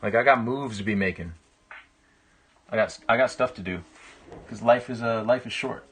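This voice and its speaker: A man, chatting casually with livestream viewers in a reflective, halting way as he thinks aloud. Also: mid-range voice, fairly bright tone, breathy edge. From Canada. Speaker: live-streaming painter. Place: small indoor room with a painting on the wall. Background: music.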